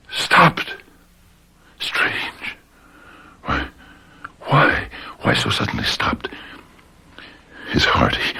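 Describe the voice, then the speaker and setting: An actor playing a guilt-ridden, anxious murderer in old-time radio drama style, halting and stammering as he repeats himself. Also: rumbling low, gravelly, sharp tone, breathy edge, heavily acted. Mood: stunned, whispering. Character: guilt-ridden anxious man who has committed murder, confessing and faltering.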